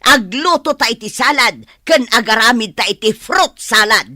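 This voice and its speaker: A grudge-holding older village mother with an Ilocano accent. She is pushy and aggrieved, with a bright, swooping, highish voice in a close, dry radio-play style. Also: lively intonation, moderate pace, no echo. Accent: Ilocano, northern Philippines. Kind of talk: radio comedy drama acting.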